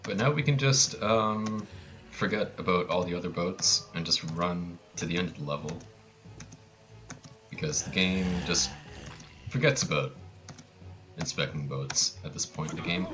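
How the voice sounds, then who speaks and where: A man's voice: sits low, moderate pace, pauses often, over music. A man giving casual live commentary on his own video game speedrun, with playful, joking asides.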